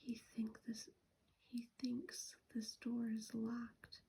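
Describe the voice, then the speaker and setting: A woman's voice: on the high side, slow, vocal fry, mellow, husky, exaggerated voice, rough recording. A woman voicing a tense young schoolboy who whispers. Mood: hushed.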